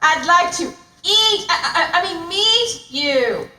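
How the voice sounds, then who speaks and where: Woman playing a wheedling hungry lion, children's puppet show, bumbling, very high voice, lively swoops, echoey distant recording with noise. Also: takes it slowly, heavily acted. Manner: stammering slips, growly exasperation. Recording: roomy, distant mic, noisy.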